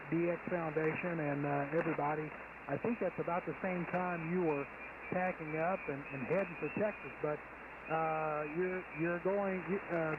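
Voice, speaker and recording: A man talking as a ham radio operator, methodical, spelling things out in phonetic letters. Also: fairly high pitch. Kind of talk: radio conversation. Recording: dull radio signal with loud static.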